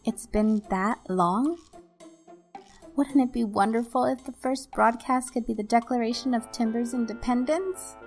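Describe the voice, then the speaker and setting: A woman's voice: fairly high pitch, unhurried, soft background music. A woman voicing an earnest, assertive young resistance leader in an amateur video game dub. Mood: hopeful.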